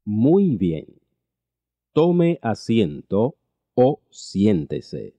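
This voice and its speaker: A man teaching a language lesson, reading each phrase slowly and repeating it twice. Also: fairly low pitch, huge pitch swings, warm tone, dry recording. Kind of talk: slow repeated translation drills.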